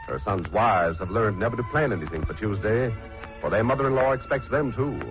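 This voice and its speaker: A man's voice: lowish voice, a little fast. A man giving scripted narration for an old radio drama. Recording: muffled 1940s radio broadcast. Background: music.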